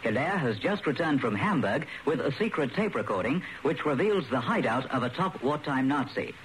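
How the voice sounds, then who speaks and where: A man's voice: mid-range voice, expressive, normal speed, a bit bright. Man narrating a radio drama. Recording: noisy old radio recording.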